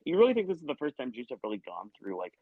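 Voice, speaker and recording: A comedian guest chatting on a podcast, a man who talks in animated, rambling bursts and cuts himself off mid-thought. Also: medium pitch, very fast, breathy edge, no room sound. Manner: animated, rambling, self-interrupting. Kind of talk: casual podcast conversation. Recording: dry muffled lo-fi sound.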